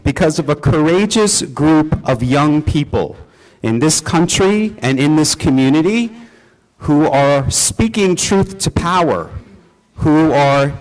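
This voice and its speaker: A man who is the pastor, welcoming his congregation and introducing a service in a church with an earnest, measured delivery. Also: on the high side, poor audio.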